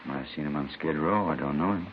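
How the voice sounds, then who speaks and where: An American actor playing an indignant, evasive accused husband in a 1950s radio crime drama. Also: male voice, rumbling low, quick pace. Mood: defensive. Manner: defensive, dismissive denials. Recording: tinny, hissy 1950s radio recording.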